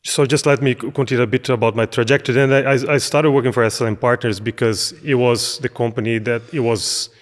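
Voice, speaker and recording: A man's voice: mid-range voice, fairly even intonation, fast, few pauses, long reverb tail. A middle-aged man from Brazil, a podcast guest speaking thoughtfully, with frequent fillers and restarts as he searches for words. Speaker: podcast guest, business analyst. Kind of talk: conversational interview.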